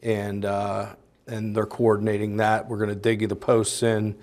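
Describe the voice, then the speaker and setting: A man giving a council committee report in a rambling, halting way with many fillers. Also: on the low side, completely flat delivery, darkish tone. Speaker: council member. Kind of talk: meeting report.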